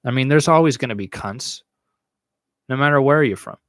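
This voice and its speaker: A young man from the States hosts his own podcast stream and answers viewers off the cuff. He talks in a crude, teasing, swear-heavy way at a middle pitch. His voice comes through a stand microphone in a small room, close and dry with almost no room sound. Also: normal speed, dry recording. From United States. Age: young adult. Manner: crude, joking, swears a lot. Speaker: podcast host answering chat. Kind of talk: casual livestream chat. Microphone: studio mic on a stand in front.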